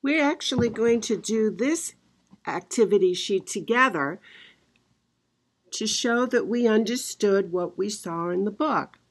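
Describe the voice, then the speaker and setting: A woman teacher guiding children through a worksheet with patient, drawn-out instructions. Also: mid-range voice, expressive.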